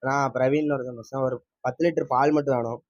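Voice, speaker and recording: Quick-talking man, dull lo-fi sound. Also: mid-range voice, steady tone, no echo. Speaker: prank caller. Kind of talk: casual vlog and phone chat. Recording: dull, lo-fi phone-video sound.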